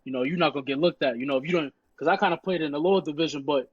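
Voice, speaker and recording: A man's voice: fairly high pitch, rapid-fire, husky, no room sound. A young man from Queens, chatting casually as a podcast guest about his life and football, leaning on "you know" again and again. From Queens, New York. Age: young adult. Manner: relaxed, repeats "you know" constantly. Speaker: podcast guest, high school football player. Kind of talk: casual interview chat. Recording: dull, dry lo-fi sound.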